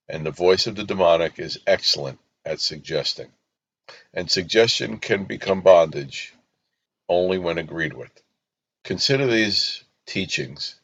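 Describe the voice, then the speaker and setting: Deep-voiced man, solemn podcast teacher. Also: fairly bright tone, lo-fi sound. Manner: solemn, deliberate, emphatic short phrases. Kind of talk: scripted spiritual teaching monologue.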